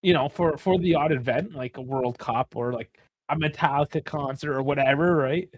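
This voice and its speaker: A man's voice: fairly high pitch, normal speed, dry recording. Canadian man, thirties, casual rambling chat, swears, dry close sound. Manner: rambling, swears, laughs often. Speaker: co-host of a soccer watchalong.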